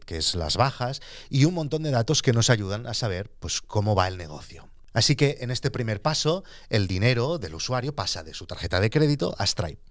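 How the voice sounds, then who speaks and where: A man in his forties, hosting a podcast, calmly and methodically explaining how his business handles money. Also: lowish voice, no room sound, studio quality. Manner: calm, methodical, explains terms as he goes. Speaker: podcast host and entrepreneur. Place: small studio in front of a blue backdrop. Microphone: studio microphone on a boom arm.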